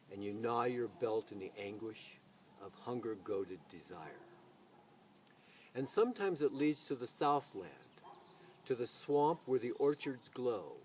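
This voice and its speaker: Mellow man reciting, distant noisy recording. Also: mid-range voice. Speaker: video channel host reading poetry aloud. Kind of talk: expressive poetry recitation with casual spoken introduction. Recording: distant mic, noise, birdsong ambience.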